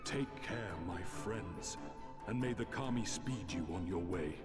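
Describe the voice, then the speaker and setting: A man's voice: low-pitched, a little slow. Guy doing a stately old sea turtle spirit, kind and formal, echoey, game music. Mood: solemn.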